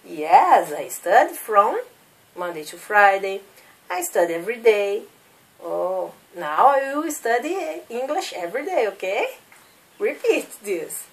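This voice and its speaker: A woman's voice: medium pitch, very animated, a little slow, recorded from a distance. A woman in her thirties from Brazil with a Brazilian Portuguese accent, teaching English as a second language and drilling simple questions for learners to repeat. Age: 30s. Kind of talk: slow classroom drilling of questions.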